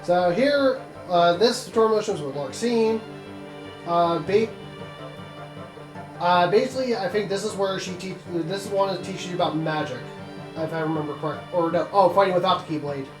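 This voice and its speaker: A man's voice: sits high, normal speed, reverberant, low-quality recording. A man giving casual, rambling game commentary among friends, explaining things as he goes and swearing now and then. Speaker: host of a video game let's play. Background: video game music.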